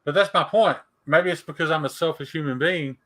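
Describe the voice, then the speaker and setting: Southern man, bright forceful voice, slightly high, laid-back podcast banter. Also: fairly quick. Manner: laid-back, pushes back in debate. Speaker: podcast co-host. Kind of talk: casual chat.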